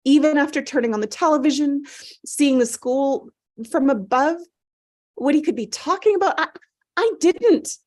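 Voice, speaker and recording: Woman acting a high-voiced, fragile, traumatised mother. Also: animated, normal speed, a little mellow. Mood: shaken, recounting. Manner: faltering, repeating herself. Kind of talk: dramatic table read.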